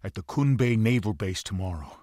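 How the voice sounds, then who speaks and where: Animated, earnest military comrade. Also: male voice, on the low side, brisk, no room sound, clean studio sound. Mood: grave. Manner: serious. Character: earnest, concerned military comrade giving urgent news. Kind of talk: video game cutscene acting.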